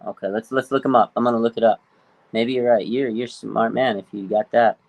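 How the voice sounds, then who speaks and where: A man's voice: medium pitch, steady tone, brisk, creaky, dry recording. A man in his late thirties co-hosting a casual sports chat, opinionated and slangy with some swearing. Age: late 30s. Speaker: sports show co-host.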